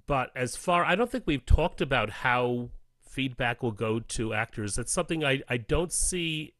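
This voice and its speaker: Mid-pitched man, dry studio-like sound. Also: normal speed, fairly bright tone, no room sound. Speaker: voice actor, podcast guest. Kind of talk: casual interview chat.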